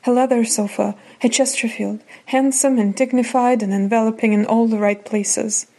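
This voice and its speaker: A woman reciting a poem deliberately, in short separated phrases. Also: fairly high pitch, steady tone, warm tone. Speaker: poetry reader.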